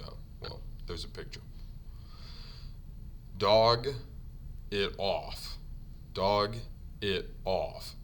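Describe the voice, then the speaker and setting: Slow, deep-voiced man teaching trade words. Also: pushed and bright, slight background noise. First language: English. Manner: repeats each term slowly for learners. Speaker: ironworker union instructor. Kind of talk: slide-based vocabulary lesson.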